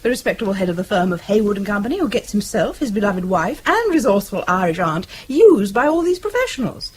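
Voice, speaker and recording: A woman playing a playful, teasing, well-bred British wife with an arch, genteel, expressive manner in a radio drama. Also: medium pitch, quick pace, background noise, close to an everyday voice.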